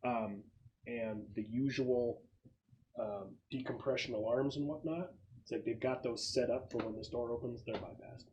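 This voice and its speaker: A man's voice: medium pitch, moderate pace, darkish tone, highs cut off, a little hiss or hum, close to an everyday voice. A man voicing a laid-back break-in specialist briefing his crew in a tabletop game, matter-of-fact and technical. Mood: calm, matter-of-fact. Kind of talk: in-character briefing by a game master.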